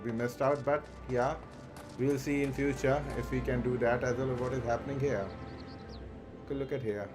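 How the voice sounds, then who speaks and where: A man hosting a game livestream with casual commentary, halting and repeating himself as he thinks aloud. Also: medium pitch. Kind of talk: casual live gameplay commentary. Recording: music behind the voice. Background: clear background music.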